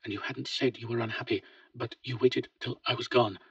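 Brief: male reader as a shaken grown son, husky, quiet, heard through a distant lo-fi mic. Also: mid-range voice, fairly even intonation, fairly quick, no echo, pitched above the performer's own voice. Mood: pleading.

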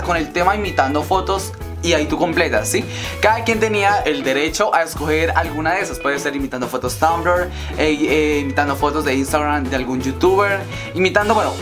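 A teenage boy from Colombia with a Colombian accent, chatting to camera in his bedroom and telling a rambling story with many fillers. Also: a little high. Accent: Colombian Spanish. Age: teens. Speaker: YouTuber host. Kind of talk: casual vlog chat to camera. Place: bedroom, indoors.

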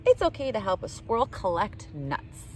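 A middle-aged woman reading a picture book aloud to young children in an animated, direct way, recorded outdoors in the woods. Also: mid-range voice, moderate pace, soft background music. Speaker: children's storytime reader. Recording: outdoor ambient noise.

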